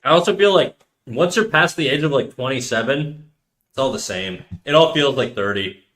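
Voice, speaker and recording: A man's voice: medium pitch, expressive, moderate pace, fairly bright tone. A man of thirty chatting casually on a live stream, rambling in slang and reacting to chat messages. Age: 30s.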